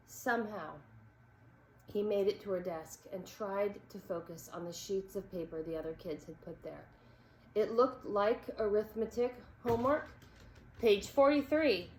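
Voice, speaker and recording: A woman reading a children's story aloud as an expressive narrator. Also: lowish voice. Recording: echoey room with background noise.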